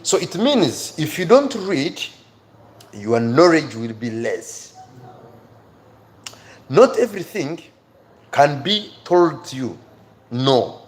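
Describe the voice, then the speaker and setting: A man's voice: on the high side, big pitch swings, takes it slowly, pauses often. A man with an East African accent teaching a live online English class informally, emphatically repeating key words and phrases. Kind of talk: informal live-stream lesson, addressing viewers by name.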